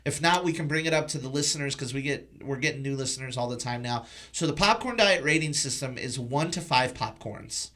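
A man's voice: mid-range voice, a little fast, hardly any pauses, sharp tone. A man hosting a podcast, chatting casually and informally about films in a loose conversational way. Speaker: podcast host. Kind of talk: casual film review chat.

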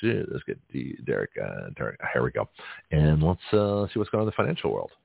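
A man with a very low, gravelly and husky voice hosts a talk radio show, rambling fast and chatty while slightly hoarse from a cold. The sound is dry and narrow, like a phone line. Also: very animated. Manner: rambling, chatty, self-interrupting. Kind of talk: casual talk radio monologue.

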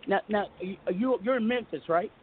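A man hosting a call-in talk radio show, talking fast and joking, often cutting in over his caller. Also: high-pitched. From US West Coast. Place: home studio in the Southwest. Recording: phone-line audio with faint hiss.

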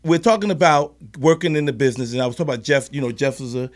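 A man co-hosting a casual podcast, loud and eager, often talking over others. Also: medium pitch, racing. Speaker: co-host.

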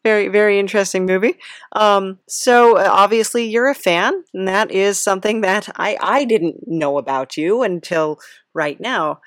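A woman hosting an improvised comic interview, speaking in a hesitant, halting and bemused way. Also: medium pitch, dry recording. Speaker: podcast host interviewing a character.

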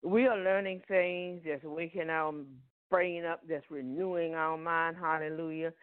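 Southern preacher on a tinny phone line. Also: male voice, on the high side, little pitch movement, unhurried, clean tone, dry recording. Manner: peppers speech with "Amen" and "Hallelujah". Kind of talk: Bible teaching sermon.

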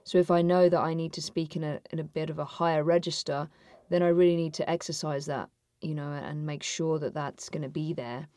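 A woman with a naturally husky voice that sits low, speaking thoughtfully in an interview and often circling back to restart a phrase. The sound is dry and close, with no room around it. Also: steady tone, fairly quick, warm tone, no room sound. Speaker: voice actor guest. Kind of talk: conversational interview answers.

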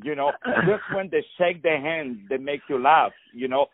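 A man's voice: a little high, moderate pace, crowd noise. A male radio host welcoming and interviewing a guest, effusive and repetitive, full of uh fillers. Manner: effusive, repeats words, many uh fillers. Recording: dull lo-fi radio sound with faint music.

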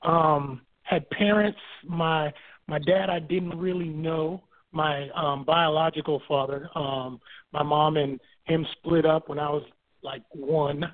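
High-voiced man on a tinny phone line. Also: slow pace. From Barbados. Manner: relaxed, repeats words as he thinks, gives homely examples. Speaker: guest, author and marriage minister. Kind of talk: telling his life story on a call-in radio show.

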